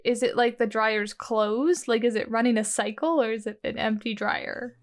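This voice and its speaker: A woman's voice: a little high, fairly even intonation, normal speed. Chatty woman playing a tabletop game. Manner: easygoing, chatty, laughs often. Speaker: player. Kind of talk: casual chat in a tabletop game.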